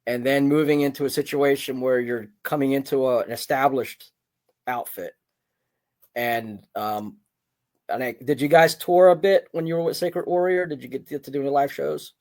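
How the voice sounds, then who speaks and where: Male interviewer, mid-pitched. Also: fairly even intonation, moderate pace, a little mellow. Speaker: interviewer, podcast host. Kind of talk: casual interview chat.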